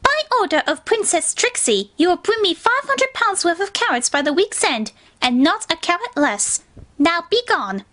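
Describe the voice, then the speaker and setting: A woman's voice: very high voice, big pitch swings, normal speed, pushed and bright, heavily acted. A woman voicing a haughty, tyrannical pony princess with a pompous, demanding manner in a dramatic story reading. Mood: imperious. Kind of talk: dramatic fan fiction reading.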